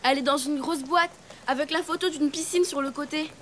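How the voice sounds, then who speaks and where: A woman's voice: high voice, fairly even intonation, brisk, natural voice. A woman playing a cheeky, bickering young elephant child in a children's cartoon, with a childlike, teasing delivery. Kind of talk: dubbed children's cartoon acting.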